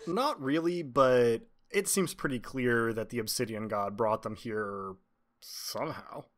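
A man's voice: mid-range voice, unhurried, slight background noise. A man running a tabletop game as game master, narrating and chatting casually.

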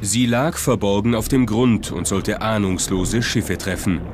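A man's voice: fairly low pitch, measured pace, noisy background. A man reading scripted documentary narration as the narrator. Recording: music under the voice. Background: prominent music.

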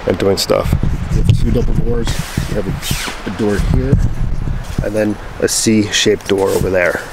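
A man's voice: on the low side, fairly even intonation, normal speed, vocal fry. A man in his thirties giving a casual, understated, unscripted gear review outdoors, speaking into a handheld camera at a campsite. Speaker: camping vlogger reviewing gear. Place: outdoors at a forest campsite, inside and beside a tent. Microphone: handheld camera at arm's length. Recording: outdoor camera audio with background noise, clicks.

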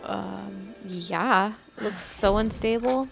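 A woman's voice: medium pitch, takes it slowly, gravelly. A woman chatting casually as she reacts to video game scenes. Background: loud game music.

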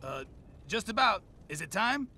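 A man's voice: high voice, sing-song, normal speed, pushed and bright, full character voice. An actor playing a scruffy, laid-back road crewman in a video game. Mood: reluctant. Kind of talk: video game cutscene acting. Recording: game cutscene with clear background noise.

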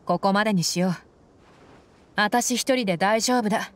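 Woman as a tough mercenary heroine, medium pitch. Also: normal speed, natural voice. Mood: resolute. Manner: blunt, tomboyish, firm. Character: tough, resolute young mercenary woman, frank and loyal. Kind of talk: dramatic game acting. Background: faint background ambience.

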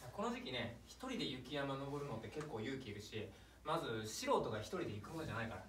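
A man's voice: mid-range voice, big pitch swings, fast talker, lightly acted. A man playing an earnestly silly young climber in a live stage comedy sketch. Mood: friendly. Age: young adult. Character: deadpan-silly young male climber, earnest about absurd games. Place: small theatre stage, indoors. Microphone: far camera. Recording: distant, echoey, noisy theatre recording.

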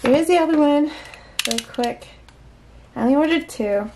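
A woman's voice: sits high, moderate pace, a little mellow, a little hiss or hum, low-quality recording. A woman chatting casually through an unboxing, giggly and full of little exclamations as she talks to herself. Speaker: unboxing vlogger. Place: indoors at a tabletop.

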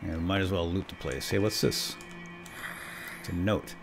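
A man's voice: sits low, normal speed, music in the background. A man hosting a let's play, giving casual gameplay commentary with playful exclamations and asides.

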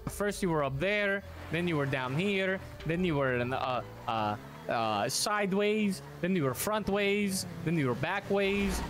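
A man's voice: high-pitched, expressive, unhurried, few pauses, fairly bright tone, soft background music. A man putting on a flustered middle-aged man. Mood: exasperated. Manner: confused, keeps asking questions. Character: bewildered 53-year-old man, easily flustered. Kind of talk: roleplay game dialogue.

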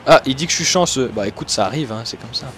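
A young man from Switzerland, commentating his own gameplay excitedly and laughing often. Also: medium pitch, fast, a little hiss or hum. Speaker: gaming YouTuber.

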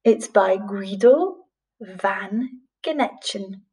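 A woman in her forties teaching young children through a picture book, speaking in a carefully paced, expressive way. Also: medium pitch, distant mic. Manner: slow, clearly paced, with expressive questioning intonation. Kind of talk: storytime lesson for young children. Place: small plain room indoors.

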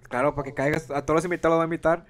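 A man's voice: on the high side, steady tone, rapid-fire. A man, hosting a podcast in casual, joking chat and laughing often, recorded close on a handheld mic in a small room. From Mexico. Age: 20s. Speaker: podcast host. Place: small room, seated on a couch. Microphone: handheld microphone.

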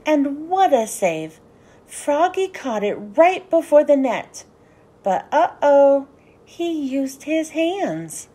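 A woman narrates a children's storybook in a high voice that swoops up and down. Her delivery is animated and deliberate, with a soft, mellow tone and an unhurried pace suited to young listeners. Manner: animated, sing-song, deliberate phrasing. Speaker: children's book read-aloud host.

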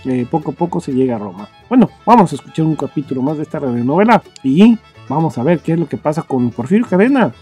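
A man hosts a podcast in a casual, rambling way. The voice is breathy and husky, mellow, and quite varied in pitch. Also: lively intonation, fast talker, hardly any pauses. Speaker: podcast host. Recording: faint music behind. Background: faint music.

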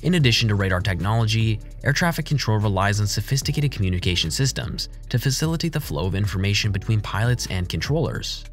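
A man narrates a scripted explainer in a fairly low voice. His delivery is measured and formal, in the manner of a documentary narrator. Faint music plays behind him. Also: animated. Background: background music.

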